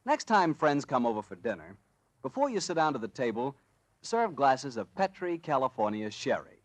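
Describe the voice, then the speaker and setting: Male American host for vintage radio sponsor spots, swooping emphatic delivery, dry studio sound, medium pitch. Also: big pitch swings, moderate pace, no echo. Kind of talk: 1940s radio advertising and announcing.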